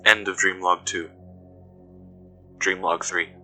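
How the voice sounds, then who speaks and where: Casting: uneasy male investigator played by a man for audio horror, low and very forceful, deliberate delivery, faint music underneath. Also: fairly even intonation, heavily acted. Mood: measured unease. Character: uneasy male investigator recounting being followed. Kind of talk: scripted first-person horror narration. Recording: music behind the voice.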